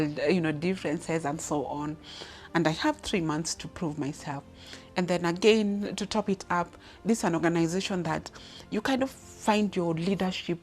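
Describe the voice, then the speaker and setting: A Kenyan woman in her thirties speaking off the cuff about her career, with many restarts and fillers. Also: lowish voice. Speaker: leadership speaker. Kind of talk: personal story, unscripted talk.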